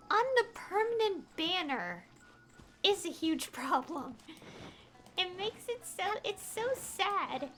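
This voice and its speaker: High, bright-voiced woman rambling on stream. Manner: exasperated, opinionated, pleading emphasis. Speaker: game streamer. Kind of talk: casual rambling livestream chat.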